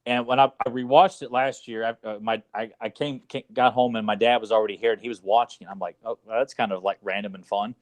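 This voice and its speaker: A man co-hosting a podcast, chatting casually and swearing now and then like an animated fan. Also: on the low side, fast talker, hardly any pauses, dry recording. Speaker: podcast co-host. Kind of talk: casual chat about movies.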